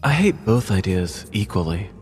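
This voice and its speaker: A woman voicing a bored, contemptuous young woman with a deadpan delivery in a comedic story. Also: a bass voice, normal speed, faint music behind, voice pushed down. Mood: bored disdain.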